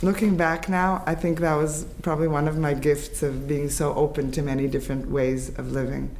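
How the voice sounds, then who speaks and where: Woman in her fifties, grew up in Israel, English first language, halting reflective speech, light ambient noise, interview guest. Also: sits low, flat delivery, a little mellow. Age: 50s. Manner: halting, restarts and fillers, reflective. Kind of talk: conversational interview.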